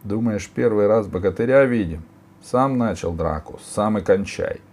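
A man's voice: lowish voice, moderate pace, darkish tone. A man voicing a sly storybook robber chieftain who sounds mocking and feigns innocence. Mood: defiant.

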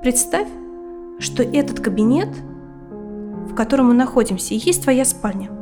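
A female psychotherapist in her thirties in an audio drama. Her voice sits a little high and she speaks in a measured, attentive way, steering with questions. Music plays clearly underneath. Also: lively intonation. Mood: calm, guiding.

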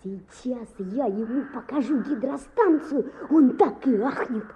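A woman's voice: sits high, lively intonation, unhurried, dark tone, a little hiss or hum. An actress playing a cocky, boastful schoolboy who tells his story in a Soviet radio play. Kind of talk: Soviet radio play, first-person narration. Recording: dull lo-fi old radio recording.

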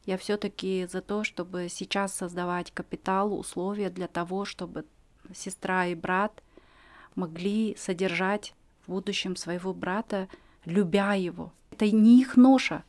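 A woman in her forties telling her personal story as a podcast guest, earnest and reflective, pausing often with fillers. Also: mid-range voice, fairly even intonation, darkish tone.

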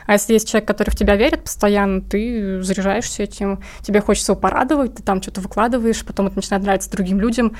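A woman talks quickly in a mid-range voice. Her delivery is informal and conversational, that of a creator answering questions as a guest. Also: medium pitch, steady tone, a little mellow. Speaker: guest, illustrator and project creator. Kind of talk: casual conversational interview.

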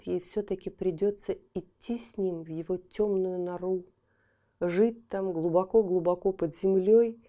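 A woman narrating a children's fairy tale audiobook, telling it expressively and dropping to a hushed voice at times. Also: medium pitch.

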